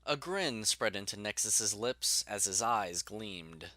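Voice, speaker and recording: A male narrator reads scripted fiction. His voice is fairly low, yet bright and forceful, carrying the story in third person. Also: expressive, slow, dry recording, clean studio sound.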